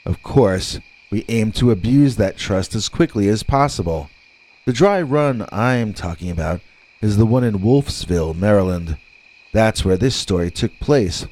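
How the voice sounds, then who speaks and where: Guy with a lowish voice reading creepy stories, dramatic and deliberate, draws out words. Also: a little mellow, low-quality recording. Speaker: narrator of horror stories. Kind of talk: scripted scary story narration.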